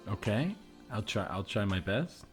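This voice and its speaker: Casting: male improviser as shy, obliging everyman, slightly low voice, hesitant, faint music behind. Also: sing-song, measured pace. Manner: hesitant, accommodating. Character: shy, agreeable man at face-painting meetup. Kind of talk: improvised audio comedy.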